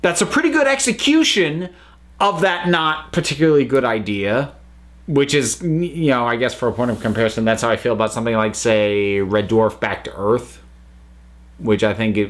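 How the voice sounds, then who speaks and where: A man's voice: fairly low pitch, normal speed. Rambling American man reviewing audio drama. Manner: rambling, self-correcting, conversational. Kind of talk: casual spoken review.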